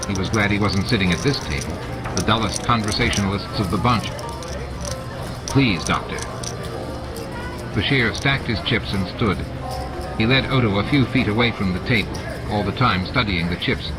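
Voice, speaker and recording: A man's voice: on the low side, brisk, loud music behind the voice. A man reading scripted story narration as an audiobook narrator. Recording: noisy audiobook with added sound effects. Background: added sound effects and ambience.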